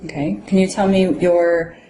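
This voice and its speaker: Lower-voiced woman asking interview questions. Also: fairly even intonation, dark tone, slight background noise, low-quality recording. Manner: brisk, polite questioning. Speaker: interviewing officer in a mock interview. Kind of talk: scripted role-play.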